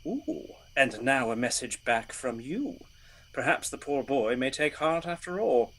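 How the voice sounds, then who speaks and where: Lively, gallant outlaw hero. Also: male voice, medium pitch, unhurried, exaggerated voice, rough recording. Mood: playful, courtly. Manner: courtly, archaic phrasing, playful. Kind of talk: radio play acting.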